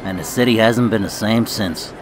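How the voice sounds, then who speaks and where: A man's voice: lowish voice, normal speed, noisy background, lightly acted. A man acting as a blunt, teasing mafia boss in a radio drama, with clipped delivery. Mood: brusque, teasing.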